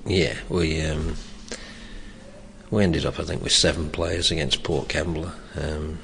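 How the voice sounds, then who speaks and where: A man's voice: rumbling low, steady tone, unhurried, gravelly. An older man, a former soccer coach, talking in an interview. He speaks hesitantly, restarting his sentences. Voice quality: loud voice. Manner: hesitant, with many restarts and chuckles. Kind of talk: reflective oral history interview. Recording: long reverb, faint background noise.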